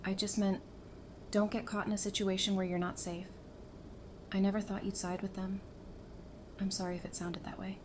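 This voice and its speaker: A woman playing a caring, casual survivor in an audio drama, speaking in an expressive, conversational way. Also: mid-range voice, normal speed, pauses often, natural voice. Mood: apologetic, gentle. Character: caring, casual, warm-hearted female survivor. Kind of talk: fan audio drama acting. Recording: clearly noisy background ambience.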